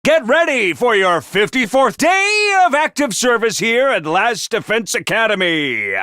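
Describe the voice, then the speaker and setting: A video game's theatrical, scheming drill-master who yells wake-up orders in a high, bright, piercing voice. The voice leaps all over the place, then sinks into quiet menace. The recording is dry and close. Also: male voice, dry recording, full character voice. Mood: shouting cheerfully. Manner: booming, swings between shouted cheer and low menace. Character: manic theatrical male drill-sergeant schoolmaster, scheming. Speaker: voice actor. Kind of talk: video game voice lines.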